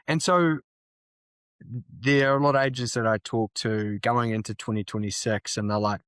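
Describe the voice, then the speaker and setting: A man's voice: lowish voice, fairly bright tone, dry recording. A man hosting an interview, asking long, rambling questions that he reworks as he goes and nodding along with frequent affirmations. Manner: rambling, self-correcting questions, many affirmations. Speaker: interview host. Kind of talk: conversational interviewing.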